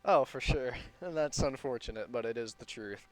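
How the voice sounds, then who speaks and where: A man with a medium-pitched voice talks sports on a podcast. His delivery is informal and a little halting, in the manner of a casual chat. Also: rough recording.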